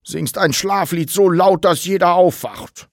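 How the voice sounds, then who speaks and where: A man voicing a kindly, mild-mannered grown man in an audiobook, gentle and easily surprised. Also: sits high, a little slow, no room sound, clean studio sound, pitched above the performer's own voice. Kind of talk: audiobook character voice.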